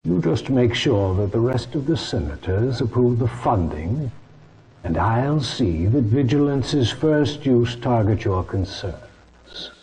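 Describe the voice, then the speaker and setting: A man's voice: medium pitch, expressive, takes it slowly, mellow, slight background noise, rough recording. An actor playing a scheming, imperious male chairman, smug and formal, in a video game cutscene. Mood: smug, calculating.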